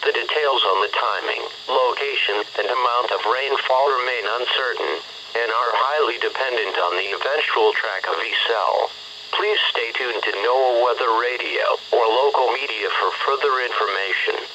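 A computerized male announcer reads a scripted weather bulletin in a measured, impersonal way. The voice sits at a middle pitch. It comes through a radio speaker, dull and lo-fi, with plainly audible noise. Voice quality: synthetic text-to-speech voice. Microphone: camera picking up a radio speaker.

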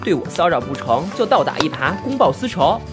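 A man playing an earnest, easily flustered young job seeker in an audio drama, with an expressive delivery. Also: fairly high pitch, fairly quick. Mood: exasperated. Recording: music behind the voice. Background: background music.

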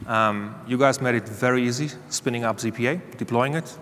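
Fast-talking man on a conference stage. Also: medium pitch, fairly bright tone, a little hiss or hum. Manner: fast, conversational, candid. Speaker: panelist, security leader. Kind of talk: conference panel answer. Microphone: lapel mic. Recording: reverberant hall, faint ambience.

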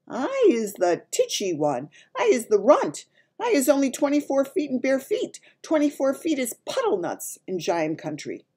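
A woman voicing a gentle, runty male giant from a children's storybook, talking in muddled grammar and made-up words. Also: high-pitched, wildly swooping pitch, normal speed, breathy edge, pitched above the performer's own voice, full character voice. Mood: boastful yet humble. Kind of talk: dramatised children's storybook reading.

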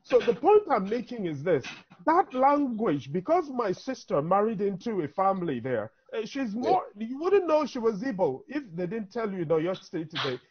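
A middle-aged man with a Nigerian accent speaks as a panelist in an online discussion. His voice sits high and swings widely in pitch as he lays out his points in an emphatic, teacherly way. The sound is dull, like a low-quality video call. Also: very animated, moderate pace, few pauses, a little hiss or hum. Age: 50s. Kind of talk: impassioned speech in an online town hall discussion. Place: indoor room, on a video call.

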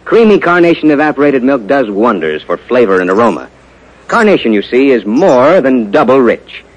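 1950s radio announcer, American male, mid-pitched, very animated, formal and polished sales delivery. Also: normal speed, muffled recording. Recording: vintage 1950s radio broadcast.

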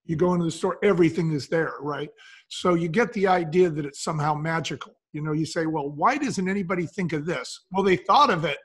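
A man's voice: on the high side, brisk, a bit bright, husky. A man speaking as an interview guest, explaining things in a folksy, emphatic way and answering his own questions. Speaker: guest, business advisor and brand founder.

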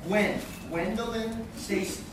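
An actor playing a flustered, quippy teenage superhero with a stammering delivery in a live radio play. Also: male voice, high voice, steady tone, takes it slowly. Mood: wistful. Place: large hotel conference room with seated audience. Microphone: distant audience camera. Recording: echoey noisy room, recorded from far off.

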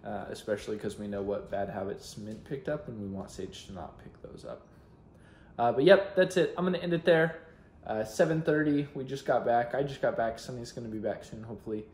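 A man's voice: medium pitch, fairly quick, echoey room, a little hiss or hum. A young man rambling casually in a daily vlog, talking to a phone held at arm's length at home. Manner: relaxed, rambling, talks to camera. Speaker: vlogger. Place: car, then home kitchen and living room. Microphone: handheld phone at arm's length.

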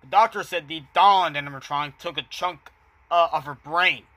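A man playing a chatty doctor on a casual, awkward phone call in an amateur short film, talking in a halting, matter-of-fact way. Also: a little high, bright, forceful tone, dry recording. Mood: casual, hesitant. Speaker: amateur voice actor.

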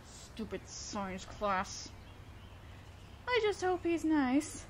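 A woman acts out a flustered young female student council president in a romantic audio roleplay. Her voice is high and she speaks slowly, hesitant and earnest, tripping shyly over words. Noticeable ambient noise sits behind her. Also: big pitch swings, exaggerated voice. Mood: nervous, wistful.

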